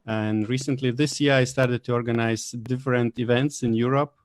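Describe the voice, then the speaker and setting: Brief: man from Lithuania, mid-pitched, dry close mic, matter-of-fact. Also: steady tone, moderate pace, no room sound. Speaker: event organizer. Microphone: video call.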